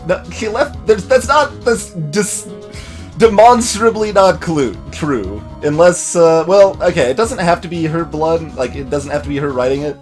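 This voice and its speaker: A man's voice: fairly high pitch, moderate pace, a little mellow, soft background music. A man casually commentating on a game he is playing, thinking aloud in a hesitant way. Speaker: let's play host.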